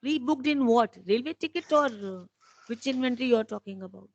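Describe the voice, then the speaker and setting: An Indian-accented woman speaks in a fairly high-pitched voice. She answers investor questions as a company executive, explaining things plainly and matter-of-factly. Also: animated, a little fast, muffled recording. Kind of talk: investor conference call answers.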